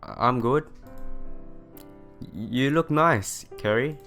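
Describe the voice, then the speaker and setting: A man's voice: medium pitch, slow, a bit bright. A man reading a visual novel aloud and adding casual commentary, as a let's player does. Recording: music playing behind the voice. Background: game music.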